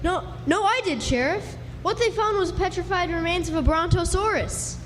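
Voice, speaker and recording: An earnest, insistent boy of the Old West as a woman acts him out, high in pitch and emphatic in his storytelling. It is live radio theatre, heard in a ringing hall with clear background noise. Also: normal speed. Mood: eager, knowing. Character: earnest, bookish frontier boy, insistent and excitable. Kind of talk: live Western radio drama acting. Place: live stage performance indoors. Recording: noisy live stage, reverberant hall.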